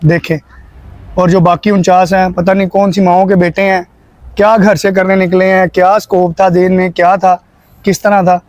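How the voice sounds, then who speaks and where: High-pitched Pakistani man in casual conversation. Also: flat delivery, normal speed, a little mellow, no echo. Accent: Pakistani Punjabi. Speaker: vlog guest.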